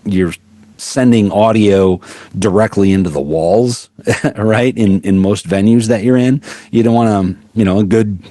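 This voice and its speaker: Deep-voiced man explaining gear. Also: mellow. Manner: explanatory, many fillers and restarts. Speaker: product specialist. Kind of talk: trade show interview. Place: busy trade show floor.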